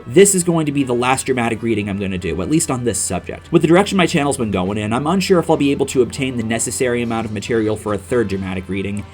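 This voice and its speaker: A man hosting a video with a casual, scripted introduction in vlog style. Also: mid-range voice. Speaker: video host.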